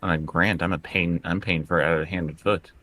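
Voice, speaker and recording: A man with a low, deep voice, heard close up with almost no room sound. He improvises casual in-character banter as a tabletop game player, dryly needling and a little hesitant. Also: fairly even intonation, a little fast, sharp tone, no room sound.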